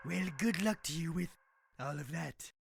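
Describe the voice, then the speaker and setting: High, pompous talk show host. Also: male voice, normal speed, gravelly, noisy background. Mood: self-important. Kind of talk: comedic audio drama acting.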